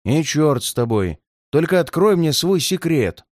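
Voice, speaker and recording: A hulking, cruel giant of a man threatening his victim in a storybook, as a male narrator puts him on. He speaks slowly and deliberately, with a lively, rising and falling delivery. The sound is close and dry. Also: fairly high pitch, dry recording. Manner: threatening, slow and heavy. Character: menacing red-haired male giant, cruel and gloating.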